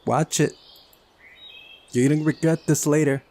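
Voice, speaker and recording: A man's voice: on the high side, fairly even intonation, a little slow, darkish tone, voice pushed up, close to an everyday voice. A man voicing a cheeky, defiant young girl while reading a visual novel aloud. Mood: teasing threat.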